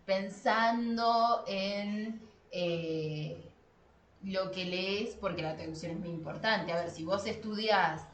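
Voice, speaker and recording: An Argentine woman co-hosts a casual talk on literature. Her pitch is average and her phrasing colloquial, with repetition for emphasis. The room is echoey, with a little noise behind her. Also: slow. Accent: Argentine Spanish. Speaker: podcast co-host.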